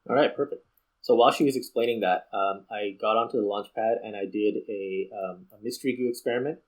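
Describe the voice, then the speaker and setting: Male game streamer, lowish voice, casual and a little hesitant, explaining while he plays. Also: brisk, low-quality recording. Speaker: video game streamer and host.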